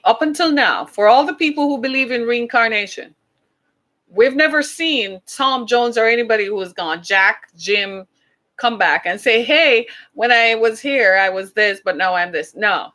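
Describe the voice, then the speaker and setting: A woman's voice: mid-range voice, a bit bright. Caribbean-accented woman hosting a podcast. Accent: British Caribbean. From British Caribbean. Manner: conversational, emphatic, asks rhetorical questions. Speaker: podcast host. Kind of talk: casual monologue podcast.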